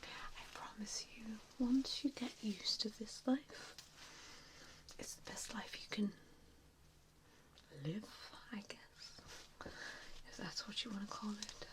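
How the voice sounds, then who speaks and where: A woman whispers her way through an ASMR roleplay as a gentle, centuries-old vampire mentor. She takes her time, speaking softly and hesitantly. The manner is soothing and reassuring. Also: mid-range voice, slow pace, long pauses.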